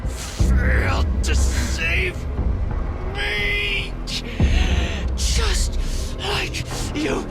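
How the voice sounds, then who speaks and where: A woman voicing a menacing, accusing monster apparition in a video game, over loud scary music. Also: very high-pitched, very animated, very slow, creaky, pauses often, full character voice. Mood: accusing rage.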